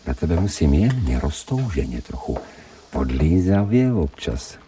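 A man's voice: rumbling low, sing-song, dark tone, slight background noise. A man playing a smug, gluttonous pet dog in a radio play, savouring his thoughts and murmuring with relish. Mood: cocky, wheedling. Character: smug, gluttonous male stray dog turned pampered pet. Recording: distant-miked radio production with music.

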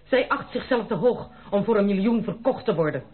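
A woman's voice: mid-range voice, animated, fairly quick, lightly acted. An actress playing a proud young noblewoman in a Dutch radio play, speaking in a haughty, dramatic and formal way. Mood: cold, defiant. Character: proud young noblewoman, wounded, indignant, unyielding. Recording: muffled narrow-band radio play recording.

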